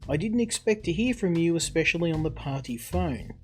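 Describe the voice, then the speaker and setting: A careful new mayor read by a man talks politely and with measure in a visual novel. The voice is fairly high for a man. Soft music runs behind it. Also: lively intonation, a little fast, natural voice.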